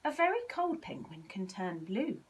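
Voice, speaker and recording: A woman voicing a puzzled female penguin doctor in a storybook, explaining in a measured, matter-of-fact way. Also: mid-range voice, sing-song, slight background noise, natural voice. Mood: pondering.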